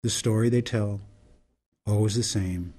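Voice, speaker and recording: A man's voice: lowish voice, steady tone, measured pace, warm tone. A man playing a grave, disillusioned activist in a radio drama, solemn and poetic in delivery. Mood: grave, wistful.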